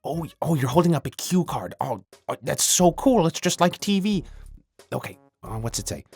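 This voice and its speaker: A man's voice: a little high, sing-song, moderate pace, creaky, a little mellow, husky, heavily acted. A man playing a self-pitying, petulant evil mad scientist in a comedic audio skit, stammering and melodramatic. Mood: flustered, pleased. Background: creepy music.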